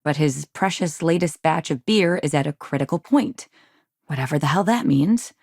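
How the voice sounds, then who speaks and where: An ambitious frontier businessman's wife in a video game, her voice low and touched with gravelly creak. She sounds polished and earnest, chafing with exasperation at being held back. The recording is close and dry. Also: moderate pace, darkish tone, dry recording. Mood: exasperated. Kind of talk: video game dialogue acting.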